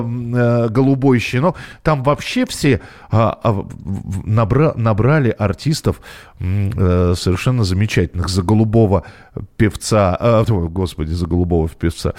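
A man hosting a casual radio talk show, halting and searching for words as he chats and reads out listeners' messages. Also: on the low side, darkish tone.

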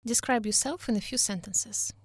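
A woman's voice: fairly high pitch, moderate pace, slightly rough, no room sound, clean studio sound. A woman hosting a podcast, reading her introduction and questions in an earnest, inviting way. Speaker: podcast host.

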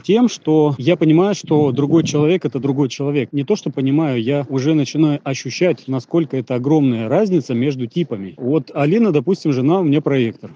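A man's voice: on the high side, mellow, breathy edge, dull audio. A man giving an earnest, reflective personal testimony as a consultant, listing his ideas in short phrases. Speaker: Human Design consultant.

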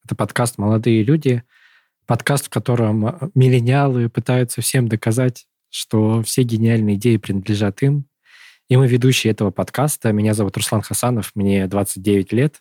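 A man's voice: on the low side, fairly even intonation, fairly quick, warm tone, dry recording, clean studio sound. A thirty-year-old man hosting a podcast, chatting casually and rambling through long self-correcting stories. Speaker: podcast host.

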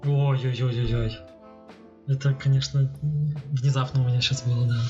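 A man's voice: medium pitch, level tone, normal speed, warm tone. A man co-hosting a casual discussion, arguing his point while thinking aloud. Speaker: podcast co-host. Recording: muffled sound with music behind. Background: music.

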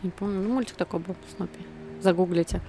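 A woman's voice: fairly low pitch, steady tone, quick pace, warm tone, a little hiss or hum. A woman talking casually as a guest in a conversational interview. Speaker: podcast guest, city guide blogger.

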